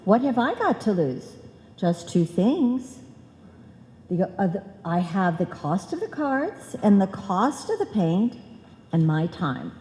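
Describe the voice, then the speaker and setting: An actress playing a chatty, muddled, earnest housewife with money-making notions in an old-time radio comedy. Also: female voice, mid-range voice, sing-song, slow, dark tone. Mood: excited scheming. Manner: muddled, earnest, malapropism-prone. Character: scatterbrained, chatty housewife eager to make money. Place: live performance in a reverberant hall. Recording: echoey hall, live stage performance.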